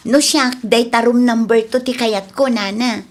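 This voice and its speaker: A woman's voice: fairly high pitch, unhurried, natural voice. A young woman playing a polite, thrifty student boarder in a radio comedy drama, speaking conversationally.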